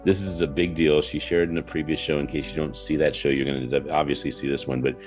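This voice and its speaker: A man's voice: very deep voice, fairly even intonation, quick pace, soft background music. A man hosting a conversational interview show, effusive and repeating phrases for emphasis. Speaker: talk show host.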